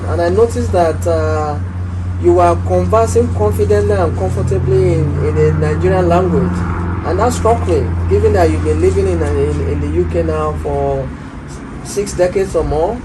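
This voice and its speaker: A man's voice: high-pitched, normal speed, noisy background. A man interviewing a guest in a studio, asking long questions with frequent hesitations and repeated words. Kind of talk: conversational interview.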